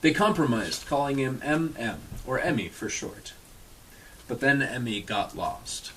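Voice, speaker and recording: A man's voice: medium pitch, animated, a little slow, fairly bright tone, a little hiss or hum, rough recording. A man reading a storybook aloud with casual asides in a dry, irreverent manner, sometimes swearing. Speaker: storyteller reading a picture book aloud to an audience.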